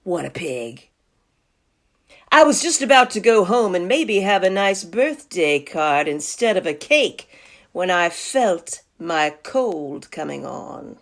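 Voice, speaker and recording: Indignant male storybook wolf played by a woman: folksy, self-excusing, unhurried, very animated. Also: lowish voice, full character voice. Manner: folksy, theatrical, mock-innocent.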